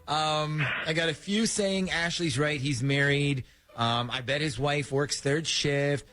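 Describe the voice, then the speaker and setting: A man's voice: on the high side, a bit bright. A man hosting a morning radio show, chatting with a caller and reading listener texts with lots of fillers. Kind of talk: morning radio prank call.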